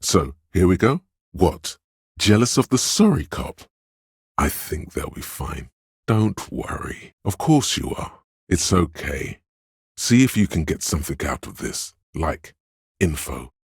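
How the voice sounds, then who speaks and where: An extremely deep, husky inner voice from a detective game. It is sly and coaxing, placing each phrase with theatrical deliberation. The sound is gravelly and very dry, close and free of room. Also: male voice, big pitch swings, unhurried, dry recording, full character voice. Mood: mocking, sardonic. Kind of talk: scripted game voice-over narration.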